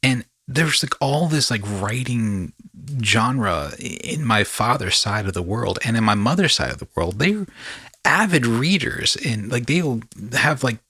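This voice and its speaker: A man's voice: medium pitch, animated, a little slow, pushed and bright, slightly rough, no room sound. A man hosting a podcast, talking in a casual, rambling storytelling way with slangy asides. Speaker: podcast host.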